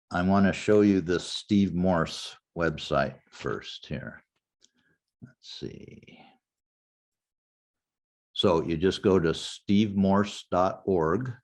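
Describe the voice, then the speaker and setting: An older man teaching a genealogy class in a relaxed, anecdotal way, walking the class through a website step by step. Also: sits low. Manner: anecdotal, conversational, walks through website steps. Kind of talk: informal lecture with screen demo.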